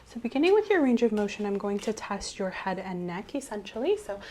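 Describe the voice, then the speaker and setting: A woman doing a soft-spoken ASMR medical role-play as a clinician, gently reassuring and giving step-by-step instructions. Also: mid-range voice, sing-song, fairly quick, slight background noise. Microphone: clip-on mic.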